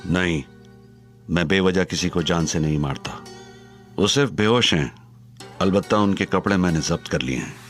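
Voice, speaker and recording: An actor playing a menacing, calm older male gangster in an audio drama, speaking in a cold, sardonic way. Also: sits low, normal speed, pauses often, natural voice. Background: music score.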